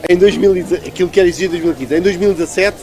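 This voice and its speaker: A man's voice: high voice, very fast, a little mellow, a little hiss or hum. A man with a European Portuguese accent giving a conversational political interview as a local politician, arguing emphatically and repeating phrases for stress.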